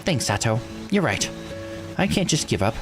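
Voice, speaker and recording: A man voicing an earnest, expressive young character in a visual novel, talking in an animated, conversational way. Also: medium pitch, moderate pace, over music, close to an everyday voice. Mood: shy gratitude.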